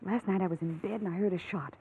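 A woman's voice: fairly low pitch, quick pace, darkish tone, lightly acted. An actress playing a desperate, earnest young farm woman in a 1940s radio drama, pleading urgently for help. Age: young adult. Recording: narrow-band old radio, faint noise.

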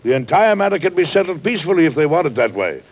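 A man playing a dignified, courteous statesman, kindly but firm, with formal measured phrasing and a gravelly voice in an old-time radio drama. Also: a little high, very animated. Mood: resolute. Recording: dull, band-limited old radio recording.

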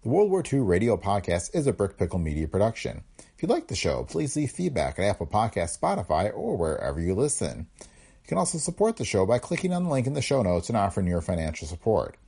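A man's voice: lowish voice, wildly swooping pitch, fast talker, creaky, mellow, slightly rough. A man hosting a podcast with scripted narration. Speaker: podcast host.

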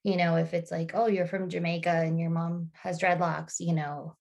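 A woman's voice: on the low side, level tone, moderate pace, creaky, darkish tone. A woman in her thirties, a podcast guest, speaking thoughtfully in casual conversation with frequent restarts and fillers.

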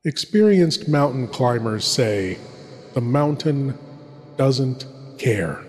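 A man narrating theatrically as a horror host, ominous and full of dramatic pauses. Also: medium pitch, animated, a little mellow. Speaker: horror host introducing old-time radio. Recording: long hall-like reverb.

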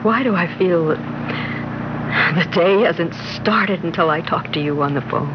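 A woman's voice: fairly low pitch, measured pace, natural voice. Actress doing an anxious married woman with a lover, old radio drama, muffled and really noisy. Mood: tender, intimate. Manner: urgent stammering when pressed. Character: anxious, pleading married woman having a secret affair. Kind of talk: classic radio drama acting. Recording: noisy band-limited old radio recording, vehicle sound.

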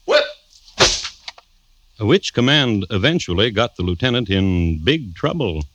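A man hosts an old radio crime drama with deliberate, droll narration. His voice is fairly low and moves expressively up and down, with faint noise from the aged recording. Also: big pitch swings. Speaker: host and narrator.

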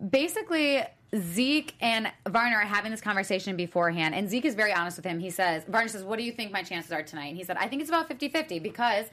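Woman host on a recap panel, medium pitch, chatty and informal. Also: animated, quick pace, hardly any pauses, a bit bright. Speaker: host of a reality TV after-show. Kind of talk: casual panel chat and recap.

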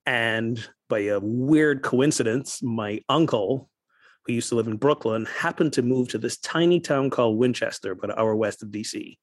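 Man, barbadian accent, middle-aged, animated storyteller, laughs often, dry close sound. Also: mid-range voice, moderate pace, dry recording. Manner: animated, laughs while telling stories, repeats words when starting a sentence. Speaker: guest, minister. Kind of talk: conversational storytelling.

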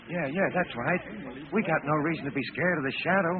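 An actor playing an excitable, folksy beggar with repetitive, ungrammatical street talk in a 1940s radio drama. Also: male voice, fairly high pitch, a little fast, close to an everyday voice. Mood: earnest. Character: folksy, excitable lame beggar and pencil seller. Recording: tinny vintage radio, crowd murmur.

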